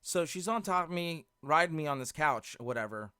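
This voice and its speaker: A man telling a casual, profane story in an animated way, acting out both sides of the conversations as he hosts. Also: fairly high pitch, brisk, clean tone, no echo, studio quality. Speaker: storyteller and host of a live show. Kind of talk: casual, profane anecdote storytelling with acted dialogue.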